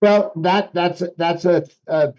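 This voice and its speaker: Man, native French speaker, higher voice, mellow tone, thoughtful explanations, dull lo-fi recording. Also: fairly even intonation, measured pace. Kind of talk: reflective conversation. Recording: dull lo-fi sound.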